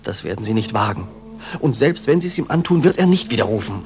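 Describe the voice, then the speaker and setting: An actor playing a fervent, idealistic young disciple in a declamatory, intense 1940s German radio drama style. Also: male voice, medium pitch, expressive, quick pace, a little mellow, faint music behind, natural voice. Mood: defiant.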